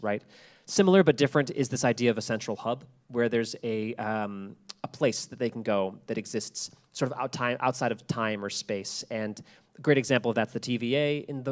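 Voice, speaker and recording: A man speaking as a podcast creator giving a lecture, explaining in an earnest, conversational way and repeating phrases for emphasis. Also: medium pitch. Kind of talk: prepared talk, explanatory and conversational.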